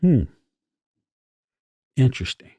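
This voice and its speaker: A man's voice: slow, dark tone, dry recording. A middle-aged man hosting a casual podcast interview, reacting informally with short repeated interjections. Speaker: podcast host.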